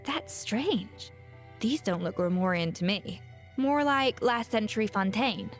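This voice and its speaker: A woman's voice: mid-range voice, animated, measured pace, natural voice. A woman playing a keen, inquisitive young chef in a video game, analytical and poised. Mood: pondering. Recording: music behind the voice.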